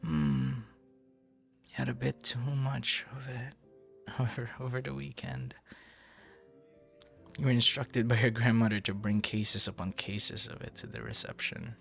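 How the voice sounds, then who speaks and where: A man acting as a young romantic with a slow, lilting delivery and a gravelly edge. He rambles hesitantly and drops into soft asides, as in an intimate boyfriend audio roleplay. Soft music plays behind him. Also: medium pitch, little pitch movement. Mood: teasing.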